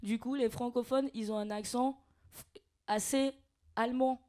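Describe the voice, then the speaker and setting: Swiss woman guest chatting on a podcast, kinda high voice, earnest, lots of euh. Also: fairly even intonation, normal speed, mellow. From Switzerland. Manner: earnest, explains carefully with many fillers. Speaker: guest, freestyle rapper and actress. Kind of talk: casual podcast chat.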